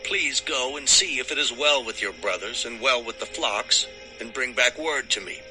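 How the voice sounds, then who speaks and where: A man's voice: medium pitch, normal speed, over music, natural voice, poor audio. An actor playing an authoritative old father, commanding and emphatic, in a dramatized audio Bible. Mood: fatherly instructing. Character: Israel, authoritative old patriarch father of many sons.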